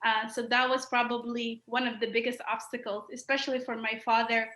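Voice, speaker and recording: Bright, echoey woman from Sudan. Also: a little high, flat delivery, brisk. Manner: earnest, many uh fillers. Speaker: interview guest, human rights activist. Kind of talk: personal story in an interview. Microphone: video call.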